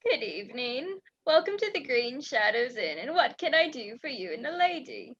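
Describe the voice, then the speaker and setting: An obliging, chatty older innkeeper, acted by a woman for a radio play. The voice is high and folksy, with a welcoming manner, on a slightly dull lo-fi recording. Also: sing-song, normal speed, a bit bright, husky, full character voice. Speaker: student stage actor.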